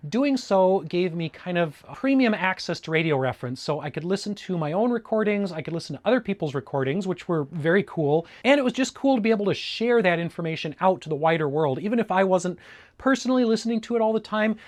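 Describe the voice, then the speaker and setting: A man's voice: high-pitched, lively intonation, quick pace. A middle-aged man from Alaska with an American accent, talking casually and informatively as a hobbyist video host in a conversational, explanatory way. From Alaska, United States. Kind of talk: casual informative vlog talk.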